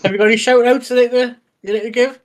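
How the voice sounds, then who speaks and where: A man's voice: very high-pitched, steady tone, fast. A man hosting a comedy quiz with playful, theatrical delivery. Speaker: quizmaster host.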